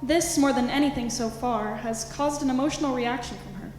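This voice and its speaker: A woman with a high voice reads stage directions. Her narration is measured and expository, and it rings with echo in a large hall. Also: music in the background. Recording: echoey hall, long reverb.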